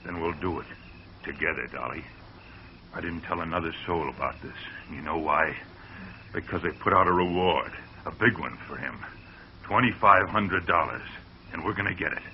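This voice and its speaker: An actor playing a scheming young farmhand in a 1940s radio drama, urgent and conspiratorial. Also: male voice, sits low, moderate pace, a little hiss or hum. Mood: conspiring. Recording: muffled old radio recording.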